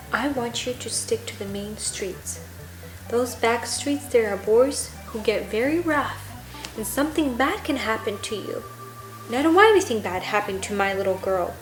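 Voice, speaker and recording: Protective storybook mother, acted by a woman: caring, insistent, fairly high voice in an echoing room. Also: lively intonation, moderate pace, faint music behind, close to an everyday voice. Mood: concerned, firm. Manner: caring but insistent, cautioning. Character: protective, firm mother of a young girl. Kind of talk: children's bedtime story.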